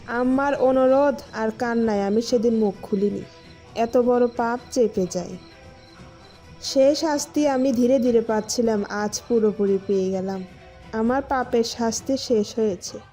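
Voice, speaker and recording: A woman's voice: a little high, measured pace, warm tone, faint music behind, lightly acted. A woman acting a grave, secretive village woman in a cartoon story drama. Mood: grave, confessing.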